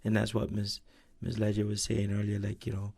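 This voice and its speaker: Hesitant low-voiced man on talk radio. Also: completely flat delivery, fairly quick, gravelly, warm tone, breathy edge. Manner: hesitant, stammers and restarts, laughs often. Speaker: studio guest. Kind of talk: radio talk show discussion.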